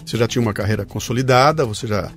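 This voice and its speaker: A man's voice: medium pitch, very fast, faint music behind. A man hosting an interview podcast, emphatic and prone to repeating words. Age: 50s. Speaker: podcast host. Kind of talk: conversational interview.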